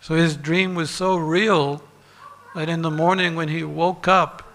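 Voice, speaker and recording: A man giving a religious sermon as a monk and teacher, deliberate, pausing and repeating phrases for emphasis. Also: on the high side, steady tone, fairly bright tone. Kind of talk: sermon with parables and rhetorical questions. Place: large reverberant temple hall. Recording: long hall reverb.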